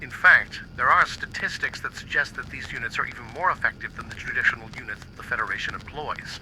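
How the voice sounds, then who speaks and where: Brisk senior officer, quick, bright edge, faint engine rumble, played by a man. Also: poor audio. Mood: formal. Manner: brisk, formal briefing tone. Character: stern male military commander, secretive, brisk. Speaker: commander.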